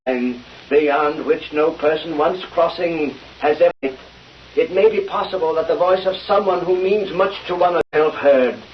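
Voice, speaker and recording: An actor in a 1930s-style radio drama playing a solemn swindling spiritualist, intoning theatrically and portentously. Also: male voice, fairly high pitch, normal speed, lightly acted. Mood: solemn, eerie. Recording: muffled, hissy old radio recording.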